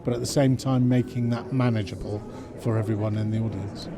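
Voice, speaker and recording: A man's voice: lowish voice, steady tone, a little fast, crowd noise. A man in a conversational interview discussion, agreeing and making his points emphatically. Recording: music playing behind the voice. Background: music.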